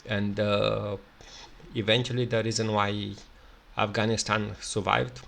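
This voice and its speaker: A man with a Romanian accent, speaking English in an unscripted solo commentary, halting with many fillers and restarts. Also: on the low side, flat delivery, gravelly, fairly bright tone. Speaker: video commentator.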